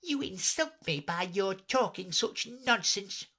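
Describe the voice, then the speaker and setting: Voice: medium pitch, expressive, slow pace, sharp tone, husky, voice pushed up, exaggerated voice. The narrator voicing an easily offended mouse, mournful and indignant, with a severe, huffy manner. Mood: severe, angry.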